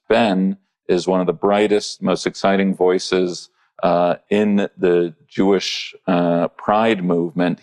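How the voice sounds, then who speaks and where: A man, a rabbi, hosting a podcast interview, speaking in a halting way with many pauses, fillers and restarts. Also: low voice, a little mellow.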